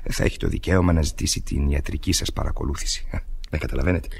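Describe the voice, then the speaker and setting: An actor playing a jovial, gravelly police inspector. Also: male voice, extremely low pitch, fairly even intonation, full character voice. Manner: hesitant, with many filler sounds. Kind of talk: 1970s Greek radio drama acting. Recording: noisy old radio recording.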